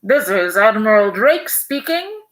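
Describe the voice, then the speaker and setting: A woman reading aloud, voicing a hearty explorer admiral speaking over a radio broadcast, loud and announcing. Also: medium pitch, big pitch swings, measured pace.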